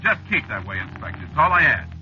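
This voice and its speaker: An actor playing a nervous, superstitious American cab driver in a 1940s radio drama, pleading and stammering in working-class slang. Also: male voice, mid-range voice, very animated, fast, sharp tone. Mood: wary. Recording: dull, noisy vintage radio recording.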